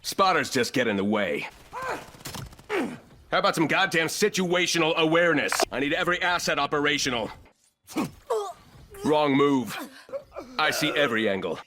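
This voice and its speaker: A man's voice: a little high, expressive, moderate pace, long pauses, noisy background. A man voicing a ruthless, arrogant military operator in a shooter game, giving clipped, commanding combat callouts in a cold, contemptuous manner. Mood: cold, menacing.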